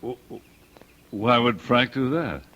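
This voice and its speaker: An actor playing a kindly, protective uncle in an old-time radio drama, wry and given to awkward stammering. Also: male voice, medium pitch, lively intonation, takes it slowly, sharp tone. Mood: flustered, hesitant. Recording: dull vintage radio drama recording.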